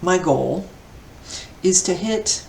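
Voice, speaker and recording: Woman around 50 chatting casually, low creaky voice, echoey and kinda far from the mic. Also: animated, slow pace, a little mellow. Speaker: vlogger and amateur ultra runner. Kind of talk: casual talk to camera about running. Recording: echoey, distant mic, faint noise.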